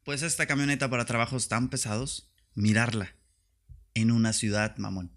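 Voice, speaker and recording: Man, northern Mexican accent, medium pitch, profane slang, sarcastic, comedic solo podcast rant. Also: steady tone, normal speed, fairly bright tone. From Tijuana, Mexico. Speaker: podcast host.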